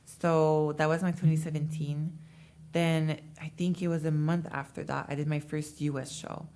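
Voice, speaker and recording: A woman speaks in a low register. As an interview guest she tells her story in an easy, chatty way, full of fillers. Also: little pitch movement, moderate pace, mellow. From Montreal, Canada. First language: French. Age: 20s. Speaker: interview guest, bikini competitor. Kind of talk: casual interview talk.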